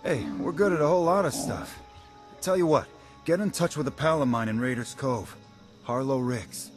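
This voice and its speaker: Scrappy, plain-spoken miner played by a man, mid-pitched. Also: lively intonation, moderate pace, soft background music, close to an everyday voice. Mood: bitter, eager. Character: scrappy, resentful male enslaved miner. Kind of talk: video game voice acting.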